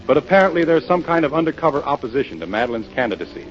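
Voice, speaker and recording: A man's voice: fairly high pitch, sing-song, very fast. A man with an American accent narrating as a 1930s radio announcer. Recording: dull 1930s radio broadcast. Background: music.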